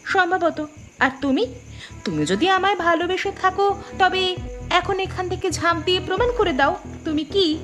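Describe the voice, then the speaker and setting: Heartless, taunting young schemer, animated melodrama, high voice with lively swings and music underneath. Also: female voice, normal speed. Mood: scornful. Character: cold, mocking, scheming young woman jilting lover. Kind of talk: cartoon melodrama acting.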